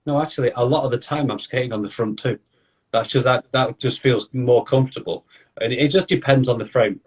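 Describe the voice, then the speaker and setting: A man's voice: medium pitch, a little mellow, husky. Chatty male podcast host. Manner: chatty, quick bursts, lots of restarts and "you know". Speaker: podcast host and skating coach. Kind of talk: casual interview chat.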